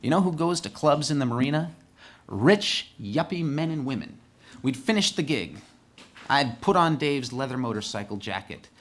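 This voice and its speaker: A man's voice: mid-range voice, animated, normal speed, a bit bright. A man telling a personal story as a monologue, candid and conversational, building rhythm with short repeated phrases.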